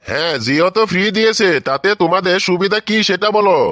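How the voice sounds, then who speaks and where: A man's voice: high voice, fast talker, a bit bright, no echo, clean studio sound. A man voicing a fatherly but exasperated school official addressing children in a comedy cartoon, with exaggerated delivery. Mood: defensive. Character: exasperated adult man, schoolteacher and visiting company manager.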